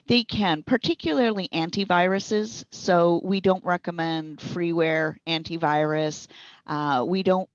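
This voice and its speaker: Dull-sounding woman explaining things, lowish voice. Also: measured pace, no room sound. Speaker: speech recognition consultant and trainer being interviewed. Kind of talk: informal explanatory interview answers. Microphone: wireless headset. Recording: dull, band-limited internet call audio.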